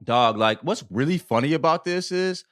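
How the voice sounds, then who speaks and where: A man's voice: a little high, expressive, normal speed, a bit bright. A man co-hosting a casual comedy podcast, talking in profane slang and repeating phrases for emphasis. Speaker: podcast co-host.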